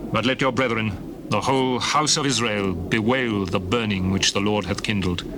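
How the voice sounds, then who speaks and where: A man playing a stern, solemn biblical prophet, speaking gravely and with command in a dramatized scripture reading. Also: fairly low pitch, normal speed, noisy background, natural voice.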